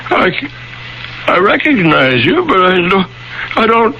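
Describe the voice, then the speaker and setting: A lost, bewildered man in a radio play, believed possessed. His high voice is creaky and halting, repeating itself as if dazed. The old broadcast is dull, with some noise. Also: sing-song, bright, forceful tone, full character voice. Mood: confused, anguished. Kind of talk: old-fashioned radio drama acting. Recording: noisy, dull 1980 radio recording.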